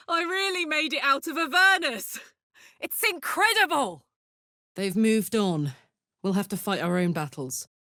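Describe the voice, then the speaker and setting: An actress voicing a blunt, battle-hardened but warm-hearted woman warrior in a video game. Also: high voice, animated, a little slow, long pauses, no echo, clean studio sound, heavily acted. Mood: elated. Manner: blunt, swearing, swinging between playful and intense. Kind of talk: video game character voice acting.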